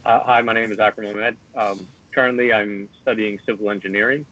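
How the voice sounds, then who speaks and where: A young man from New York, a student guest, speaking earnestly but haltingly with many uhs. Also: on the low side, steady tone, sharp tone. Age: young adult. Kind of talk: casual interview. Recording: dull lo-fi audio.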